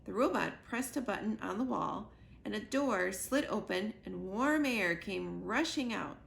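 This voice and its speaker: A woman's voice: on the high side, creaky, slight background noise, heavily acted. A woman reading a children's book aloud as a young goose earnestly telling his mother about his adventures.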